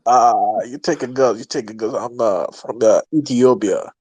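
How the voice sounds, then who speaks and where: Halting man calling in to a show. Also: mid-range voice, a little mellow, husky, dry recording, poor audio. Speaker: caller. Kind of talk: casual call-in chat.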